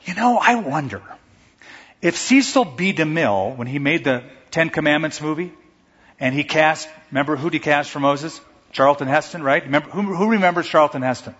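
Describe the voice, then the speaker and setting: Pastor, man, fairly high voice, husky, conversational preaching, long hall echo, dull sound. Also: lively intonation, moderate pace, a bit bright. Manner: conversational preaching, acts out stammering quotes. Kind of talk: sermon.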